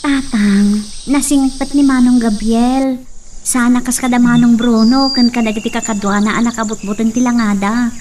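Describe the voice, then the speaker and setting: A gentle young daughter in a homemade audio drama, as a woman voices her, with a high voice. She pleads earnestly and softly. The mic is set back, with faint hiss and crickets. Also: fairly even intonation, moderate pace, far from the mic, lightly acted. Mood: pleading.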